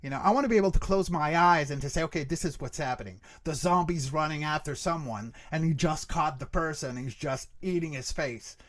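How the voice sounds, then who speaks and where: Higher-pitched man, explaining casually, dry sound. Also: a little fast, few pauses, no room sound. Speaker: guest expert, creature voice actor and workshop teacher. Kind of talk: casual explanatory interview answers.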